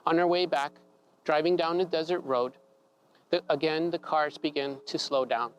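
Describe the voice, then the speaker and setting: A man calmly telling a personal story, measured and reflective. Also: on the high side, lo-fi sound, slight background noise. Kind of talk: personal story told live.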